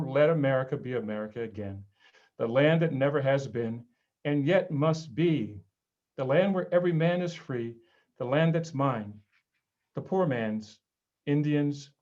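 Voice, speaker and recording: A man reciting poetry at a reading in a dramatic, emphatic way, with deliberate phrasing and pauses. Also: a little high, a little mellow, lo-fi sound. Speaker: reader at a literary read-in.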